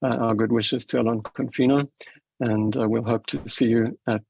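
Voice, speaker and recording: Gravelly, husky South African moderator. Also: male voice, medium pitch, steady tone, moderate pace, darkish tone, low-quality recording. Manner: hesitant, many fillers and restarts. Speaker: panel moderator. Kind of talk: academic panel remarks.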